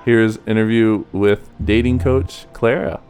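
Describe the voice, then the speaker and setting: A woman's voice: a bass voice, fairly even intonation, a little slow, darkish tone, faint music behind. A woman co-hosting a podcast in a casual, friendly, informal conversational style. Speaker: podcast co-host.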